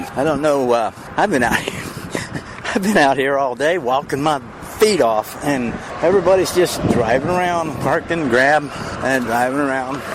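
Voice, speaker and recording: A mid-pitched man chats his way through a report from outdoor fairgrounds, tossing in joking asides. Clear background noise and passing vehicles sit behind his voice. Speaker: podcast host reporting from an event. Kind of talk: on-location field report.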